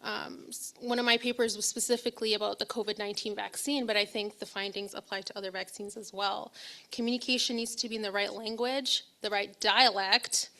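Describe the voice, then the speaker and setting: A woman's voice: mid-range voice, steady tone, brisk, pushed and bright. A young woman from Ethiopia, a public health researcher, speaking earnestly on a panel with many fillers and restarts. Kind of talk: panel talk.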